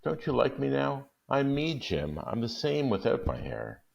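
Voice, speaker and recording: Brief: man doing a young wife in a storybook, pleading and eager, gravelly tone pushed high, lively swings in pitch, dull lo-fi sound. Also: unhurried, heavily acted.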